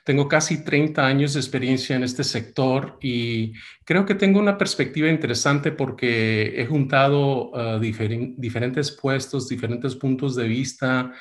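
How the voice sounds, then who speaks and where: Hombre de mediana edad, titubeante. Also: male voice, mid-range voice. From United States. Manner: hesitant, many fillers and restarts. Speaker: workshop speaker. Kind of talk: webinar presentation. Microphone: video call.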